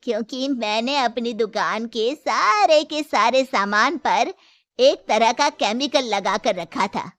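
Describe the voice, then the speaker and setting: Woman playing a slow, high-voiced shrewd mother-in-law. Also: fairly bright tone, no room sound. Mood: smug. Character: shrewd, firm older mother-in-law running a shop. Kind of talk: Hindi moral story character acting.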